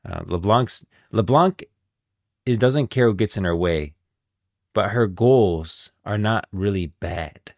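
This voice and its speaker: A man's voice: lowish voice, lively intonation, slow, a little mellow, dry recording, studio quality. A man streaming casual game lore commentary, rambling and thinking aloud as he goes, often repeating himself. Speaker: streamer, host.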